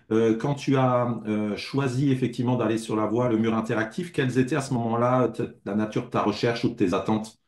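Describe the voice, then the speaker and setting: A man's voice: mid-range voice, darkish tone. Hesitant male interviewer in echoey room. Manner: hesitant, many fillers.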